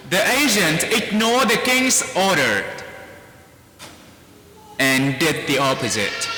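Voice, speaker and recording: A priest from Vietnam with a Vietnamese accent, giving a slow, deliberate homily in a large church and spelling out words. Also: male voice, high voice, bright, forceful tone, a little hiss or hum. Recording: echoey church hall.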